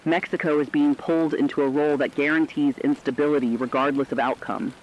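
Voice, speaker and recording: A man's voice: fairly high pitch, steady tone, brisk, lo-fi sound, natural voice. A man playing a serious radio correspondent, giving a scripted emergency war report with formal, emphatic, clipped phrasing. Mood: serious, urgent.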